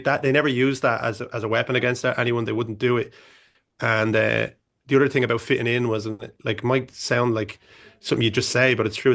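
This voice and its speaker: Gravelly man rambling on a panel. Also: mid-range voice, fairly bright tone. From Ireland. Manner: rambling, many restarts and fillers. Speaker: band manager, panelist. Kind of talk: panel discussion.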